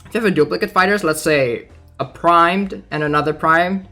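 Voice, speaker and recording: Male game streamer, voice a bit high, casual livestream commentary, hesitant think-aloud delivery, music underneath. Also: normal speed, sharp tone.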